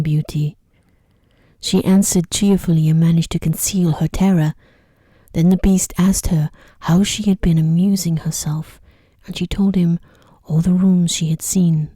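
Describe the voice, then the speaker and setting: A woman narrating a bedtime story in a soft, breathy, whispery ASMR voice, gently and soothingly. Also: on the low side, dry recording, clean studio sound. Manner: slow, gentle, soothing delivery.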